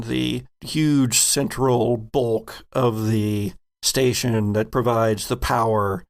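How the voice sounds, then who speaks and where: Male game master: slow, deeply creaky voice, improvised scene-setting, measured and descriptive.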